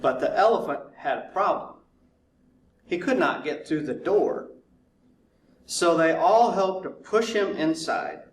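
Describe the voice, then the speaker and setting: A man narrating a children's storybook in a deliberate way, drawing words out for effect. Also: fairly high pitch, sing-song. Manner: deliberate, drawn-out repetition for effect. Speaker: fire chief reading to children. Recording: roomy echo, distant mic, faint noise.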